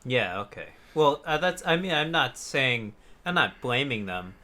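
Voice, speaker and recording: A man's voice: mid-range voice, big pitch swings, measured pace, bright, forceful tone. A man co-hosting a casual podcast, talking in a rambling, jokey way into a close desk microphone. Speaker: podcast co-host. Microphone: close USB desk microphone on an arm.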